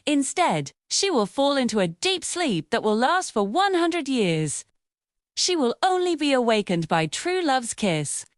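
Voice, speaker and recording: A woman's voice: sits high, sing-song, measured pace, dry recording, studio quality. A woman voicing a kind good fairy in a storybook tale, speaking in a formal, reassuring way. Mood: solemn hope. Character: kind, hopeful good fairy, female, solemn.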